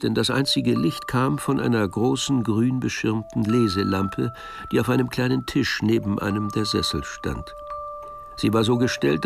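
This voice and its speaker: A man's voice: mid-range voice, creaky. A man narrating a radio drama in a scripted, literary storytelling style. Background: music.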